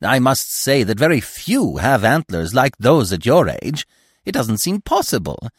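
A man voicing a chatty, fussy, excitable squirrel in a storybook, gushing and emphatic. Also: medium pitch, measured pace, husky, no room sound, clean studio sound, pitched above the performer's own voice, heavily acted. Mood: gushing, excited.

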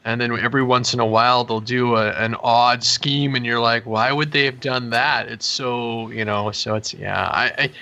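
Bright-voiced man musing aloud. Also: mid-range voice, fairly even intonation, moderate pace, vocal fry, few pauses, muffled recording. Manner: thoughtful, stammering restarts. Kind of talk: casual chat.

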